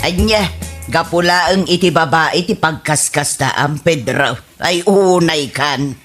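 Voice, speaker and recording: A woman's voice: sits low, measured pace, a bit bright, faint music behind. A woman with an Ilocano accent playing an exasperated, scolding middle-aged mother in a radio comedy drama.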